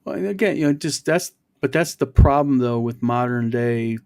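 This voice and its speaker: A man's voice: medium pitch, normal speed, gravelly, darkish tone, smooth. A man in his fifties hosting a casual chat show, explaining his points at length. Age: 50s. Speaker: host.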